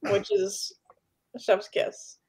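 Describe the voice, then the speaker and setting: A woman's voice: very slow, darkish tone, rough recording. A young adult woman casually hosting a livestream over a video call in a chatty way. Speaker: livestream host. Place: room at home, video call.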